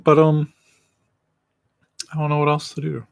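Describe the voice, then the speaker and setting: A man's voice: on the high side, completely flat delivery, a little slow, vocal fry, clear, resonant tone, no echo. A man in his late twenties with a slight lisp, chatting casually and rambling with self-deprecating jokes on his own livestream. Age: late 20s. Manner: eating while talking. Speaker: livestream host. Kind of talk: casual solo livestream chat.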